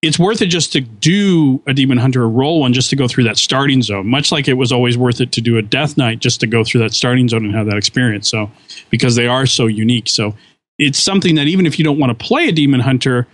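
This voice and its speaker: A man's voice: mid-range voice, fast talker, no echo. A man on a gaming podcast, talking at length and restarting his sentences. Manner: talkative, many restarts and fillers. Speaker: podcast co-host. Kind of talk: casual chat.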